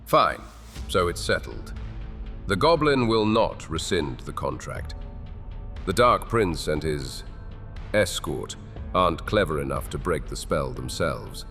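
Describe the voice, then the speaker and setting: A man's voice: low-pitched, big pitch swings, slow, a bit bright, soft background music, heavily acted. A man voicing a guilt-ridden male king who stammers and pleads, in an audio drama with long hall reverb. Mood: anguished.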